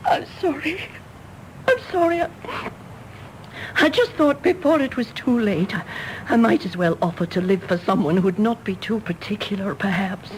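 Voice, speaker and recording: A woman's voice: medium pitch, lively intonation, normal speed, slight background noise, natural voice, rough recording. An actress playing a solemn, lonely governess in her thirties in a radio drama, earnest and intense. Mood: ashamed. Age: 30s. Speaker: actor. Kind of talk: British radio drama acting.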